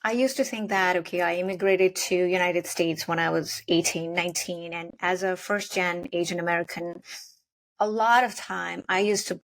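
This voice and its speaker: A woman's voice: on the low side, fairly even intonation, normal speed, low-quality recording. A woman from Nepal, hosting a podcast and reflecting on her own story before asking her guest a question. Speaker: podcast host. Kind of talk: interview conversation.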